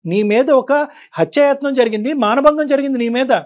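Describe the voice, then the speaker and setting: A woman giving forceful, exhorting advice as a counsellor on a talk show. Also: high-pitched, brisk, highs cut off. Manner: emphatic, exhorting.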